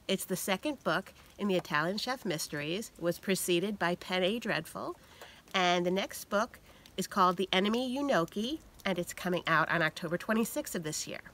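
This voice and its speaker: A middle-aged woman with a bright, sharp voice introduces her mystery series as its author. She speaks outdoors to a phone a few steps away. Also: medium pitch. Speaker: mystery novelist. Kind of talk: prepared book introduction to camera. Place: outdoors in snowy woods.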